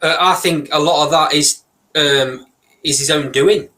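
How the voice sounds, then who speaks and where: Brit bloke on a boxing panel, bright sharp voice, blunt, lots of um. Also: male voice, on the high side, fairly even intonation, unhurried. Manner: blunt, with "uh" and "um" hesitations. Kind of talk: casual chat.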